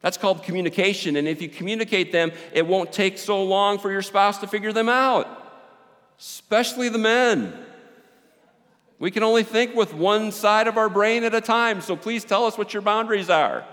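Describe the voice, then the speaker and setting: Big-voiced pastor in a reverberant hall. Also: male voice, high voice, normal speed. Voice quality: big loud voice. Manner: animated, joking, with rising and falling volume. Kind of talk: sermon.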